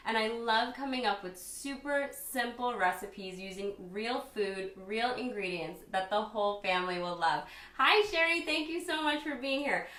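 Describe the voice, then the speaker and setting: A woman presents a casual live cooking demo in a chatty, upbeat way. Her voice is bright and a little hoarse. She sounds some distance from the microphone, in a room with noticeable echo. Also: on the high side. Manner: chatty, upbeat, addressing viewers directly. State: slightly hoarse. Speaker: cooking show host and chef.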